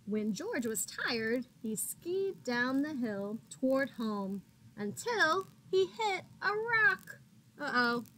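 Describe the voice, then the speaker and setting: A woman with a fairly high voice reads a picture book to young children at a slow, measured pace. She is expressive in a teacherly way, speaking straight to her little listeners. A faint noise sits behind her. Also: poor audio. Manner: expressive, addresses children as friends, gasps for suspense. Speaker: teacher reading aloud to children. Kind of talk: animated picture-book read-aloud with questions to young listeners.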